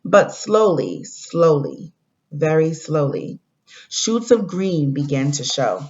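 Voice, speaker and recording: A woman teacher giving a read-aloud lesson to young pupils, speaking in a deliberate way and addressing the children directly. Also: sits low, big pitch swings, darkish tone. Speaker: elementary school teacher. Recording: dull lo-fi recording.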